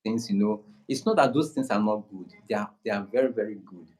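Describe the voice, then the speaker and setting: Unhurried Nigerian man, low voice. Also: darkish tone. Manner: slow, unhurried, many restarts. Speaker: guest creator. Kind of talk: casual conversation.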